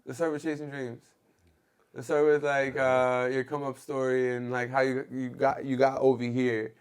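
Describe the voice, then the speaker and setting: A man's voice: mid-range voice, flat delivery, normal speed, smooth. A man from Venezuela with a Venezuelan Spanish accent, hosting a casual podcast interview in loose slangy talk. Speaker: podcast host.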